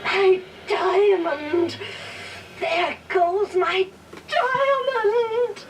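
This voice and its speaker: A woman's voice: extremely high pitch, drawn-out words, a little hiss or hum, exaggerated voice. A woman playing a cruel, greedy villainess in a children's read-along story, with a menacing, theatrical delivery. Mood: wailing. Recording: music behind, old story record.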